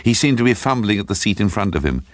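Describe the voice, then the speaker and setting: A man narrating a dark literary story in a dramatic, scripted storytelling style. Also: on the low side, sing-song, fast talker, dry recording.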